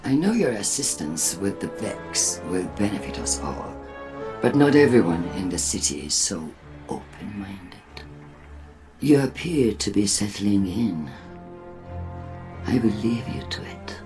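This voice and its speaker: An actor playing a haughty, suspicious city leader in a game cutscene, curt, formal and disdainful. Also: male voice, lowish voice, very animated, measured pace, over music. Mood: cold, guarded. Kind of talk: dramatic game cutscene acting.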